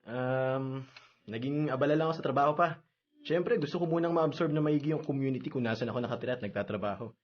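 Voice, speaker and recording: An actor playing a reserved, guarded young older brother, speaking politely in a radio drama. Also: male voice, medium pitch, fast, close to an everyday voice. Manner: restrained, polite. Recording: dull, band-limited radio drama audio.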